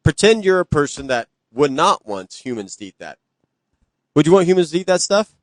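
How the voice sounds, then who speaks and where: A man's voice: a little high, very animated, brisk, dry recording. A man co-hosting a podcast in casual, comedic banter. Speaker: podcast co-host.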